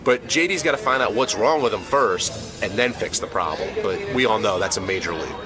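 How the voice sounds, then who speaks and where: A man's voice: lowish voice, animated, brisk, over music. A man giving a casual, hesitant comment about a colleague. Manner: hesitant, unsure.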